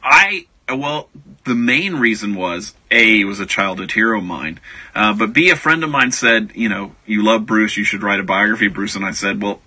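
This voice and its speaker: A man's voice: on the low side, expressive, normal speed, pushed and bright, breathy edge, poor audio. An American man speaking conversationally as an author being interviewed on the radio, explaining through anecdotes. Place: radio studio.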